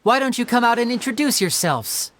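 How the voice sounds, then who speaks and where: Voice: medium pitch, expressive, brisk, a bit bright, a little hiss or hum, close to an everyday voice. An actor voicing a suave young magician. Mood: wary. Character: young male stage magician, poised and theatrical. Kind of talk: video game dialogue.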